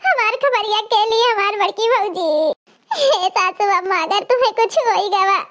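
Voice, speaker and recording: Super high squeaky cartoon village woman, awadhi accent, pleading and wailing, very dramatic. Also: normal speed, fairly bright tone. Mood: tearful, panicked. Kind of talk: Awadhi family comedy cartoon dialogue.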